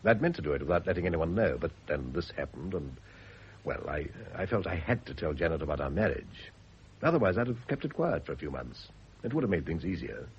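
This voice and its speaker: An actor playing an evasive, urbane middle-aged British gentleman in a radio drama, speaking hesitantly. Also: sits low, big pitch swings, brisk. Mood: confiding. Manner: hesitant, urbane, falsely breezy. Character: evasive, self-deluding middle-aged English gentleman, newly married. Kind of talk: 1970s radio drama acting. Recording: dull, noisy lo-fi old radio recording.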